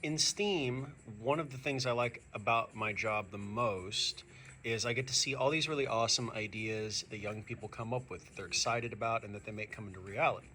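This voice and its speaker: A man who is a teacher reading a picture book aloud to young students, expressive and speaking to them directly. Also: fairly low pitch, brisk, faint music behind. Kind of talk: animated children's read-aloud.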